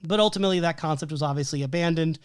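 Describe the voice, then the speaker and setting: A man's voice: on the high side, very fast, clean tone, dry recording, studio quality. A man co-hosting a podcast, reading prepared film analysis in a methodical way while losing his voice. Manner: methodical, listing details with frequent "um" and "like". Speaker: podcast co-host.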